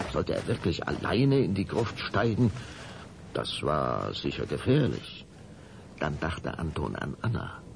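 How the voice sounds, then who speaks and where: A man narrating a German children's radio play as a measured, suspenseful storyteller. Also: a bass voice, gravelly, noisy background, heavily acted.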